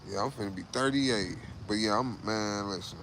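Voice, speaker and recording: A man's voice: lowish voice, moderate pace, fairly bright tone, a little hiss or hum. A man in his late thirties hosting a casual livestream, talking loosely and profanely, repeating himself and saying "bro" a lot. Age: 30s. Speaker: livestream host.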